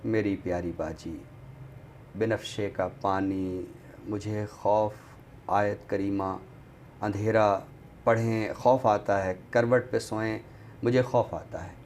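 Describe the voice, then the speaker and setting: A man reciting poetry on a literary show in a deliberate, expressive way, returning to refrains. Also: on the low side. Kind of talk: dramatic Urdu poetry recitation, then book talk. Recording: clearly noisy background ambience.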